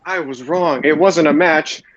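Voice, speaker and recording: A man's voice: a little high, measured pace, fairly bright tone, lo-fi sound. A man hosting a podcast, recapping wrestling shows for his co-hosts. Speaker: podcast host.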